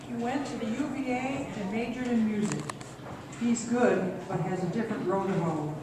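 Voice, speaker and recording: Woman as earnest radio-play daughter, plain reading, distant mic, clear background noise. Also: a little high, moderate pace, heavy reverb, natural voice. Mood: pensive. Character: earnest young daughter eager to join the Navy. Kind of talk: amateur radio play acting. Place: echoey room at a senior center.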